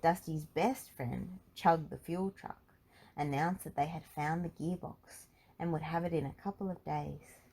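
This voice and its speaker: A woman's voice: sits low, fairly even intonation, a little slow, darkish tone, slightly rough, poor audio. A woman reading a children's storybook aloud as narrator. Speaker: storybook reader.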